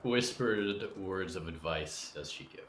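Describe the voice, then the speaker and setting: In an echoey room with faint background noise, a man with a lowish voice hosts a podcast. He is measured and courteous, with a literary, storytelling turn of phrase. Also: expressive, fairly bright tone. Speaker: podcast host. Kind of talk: interview hosting with scripted storytelling narration.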